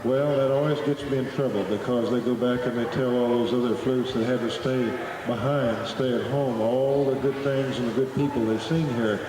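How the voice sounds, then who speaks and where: A man's voice: medium pitch, fairly even intonation, moderate pace, few pauses, noisy background. A middle-aged man, talking to a live audience between flute pieces in a folksy, joking storyteller's manner. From Oklahoma. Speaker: flute performer addressing a festival audience. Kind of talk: live stage talk with folksy humor. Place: outdoor festival stage. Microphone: stage PA microphone. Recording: echoey live recording from far off. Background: festival crowd.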